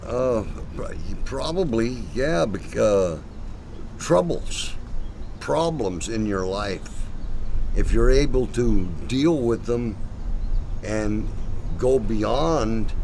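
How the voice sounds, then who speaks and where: A man with a lowish voice answers interview questions very slowly. His casual talk is halting, with pauses mid-phrase, recorded on location over clear vehicle noise. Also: darkish tone. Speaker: interview guest. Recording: noisy location sound with vehicle rumble.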